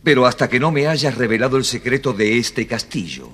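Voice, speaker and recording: An actor playing a clever, self-assured young flute player in an audio fairy tale, with theatrical, commanding delivery. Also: male voice, mid-range voice, lively intonation, a little fast, a bit bright, breathy edge. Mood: confident, bargaining. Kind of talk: audio storybook acting.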